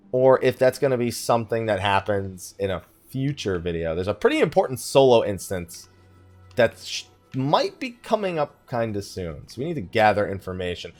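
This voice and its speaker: A man's voice: medium pitch, a little mellow. A man hosting a let's play, talking in a casual, rambling, self-deprecating way. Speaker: gaming video host. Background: game music.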